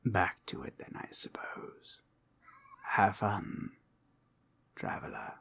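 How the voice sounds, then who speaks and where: A courteous, cheeky woodland stranger played by a man in an ASMR roleplay. The low voice is soft and husky, speaking like someone sharing a secret. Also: big pitch swings, slow, exaggerated voice. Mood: friendly, conspiratorial. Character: cheeky, polite forest traveler gathering materials, offering help.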